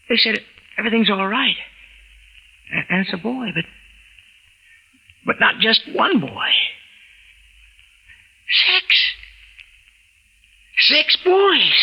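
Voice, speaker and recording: An actor playing a stunned, excitable everyman husband in a 1940s radio drama, stammering with bewilderment. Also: sits high, creaky, husky, exaggerated voice. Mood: flustered. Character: excitable, stunned everyman husband telling his incredible story. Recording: noisy vintage radio recording.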